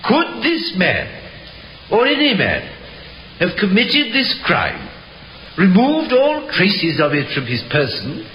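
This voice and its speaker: A solemn judge addresses the court in formal, oratorical phrases. His high voice rings with echo over the hiss of an old radio drama recording. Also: wildly swooping pitch, takes it slowly, sharp tone, heavily acted. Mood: solemn, emphatic. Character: solemn, emphatic male judge addressing jury and prisoner. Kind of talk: 1950s radio drama acting.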